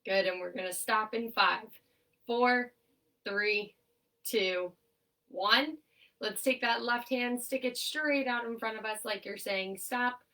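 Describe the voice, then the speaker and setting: A woman's voice: on the high side, slow pace, bright, forceful tone. Young woman, nasal stuffed-up voice, upbeat exercise instructor, counting down, slightly distant from the mic. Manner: upbeat, encouraging, counts down steadily. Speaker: occupational therapist leading a seated exercise class.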